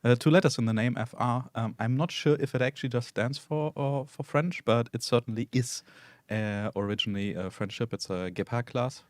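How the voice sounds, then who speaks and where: A man hosts a game stream in an easygoing, halting way, his pitch sitting in the middle of the range. The recording is dry and close, with no room sound. He fills his pauses with ums and uhs. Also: no room sound. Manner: relaxed, hesitant, many ums and uhs. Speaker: stream host. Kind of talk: casual game-stream chat.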